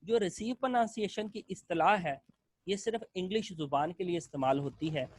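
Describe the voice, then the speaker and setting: A man's voice: sits high, brisk, no echo. A male lecturer explains a topic in a classroom style, repeating phrases in long lists and mixing in English terms.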